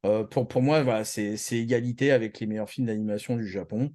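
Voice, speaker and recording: A man co-hosting a show, chatting casually and giving opinions in slangy, halting speech. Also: on the low side, steady tone, mellow. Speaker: co-host of an anime news show. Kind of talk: casual opinionated news chat.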